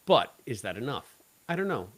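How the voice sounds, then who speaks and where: Male podcast co-host, average pitch, wry and joking in casual review chat. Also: sing-song, moderate pace, a bit bright, rough recording. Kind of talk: casual review chat about an audio drama.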